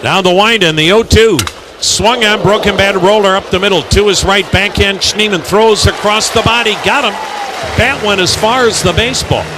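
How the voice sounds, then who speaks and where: A man calls a baseball game live for radio in a fairly high voice. He mixes pitch-by-pitch commentary with asides, over outdoor stadium ambience. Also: normal speed, background noise. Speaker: baseball play-by-play radio announcer.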